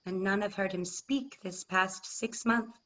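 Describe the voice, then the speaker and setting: Woman acting a measured, resolute grieving queen. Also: mid-range voice, fairly bright tone. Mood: sorrowful. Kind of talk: classical verse stage acting. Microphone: video call. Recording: muffled video-call audio.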